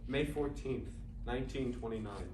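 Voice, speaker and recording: A lovesick writer of the 1920s, acted by a man, speaks his love letters with earnest, poetic longing at a middle pitch. It is a staged play reading, caught from far off in an echoing room with clear background noise. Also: normal speed, natural voice. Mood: yearning. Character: lovesick male writer in 1920s Paris, yearning and fearful. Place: indoor performing arts academy, mic far off. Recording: distant, echoey, noisy live stage recording.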